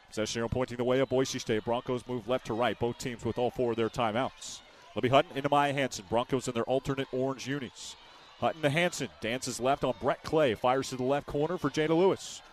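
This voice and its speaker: A man doing live radio play-by-play of a basketball game in clipped, descriptive phrases. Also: mid-range voice.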